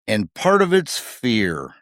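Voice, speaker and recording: A man's voice: fairly low pitch, huge pitch swings, takes it slowly, fairly bright tone, dry recording, studio quality. A man co-hosting a podcast, delivering scripted commentary in short, punchy rhetorical phrases with wry humour. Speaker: podcast co-host.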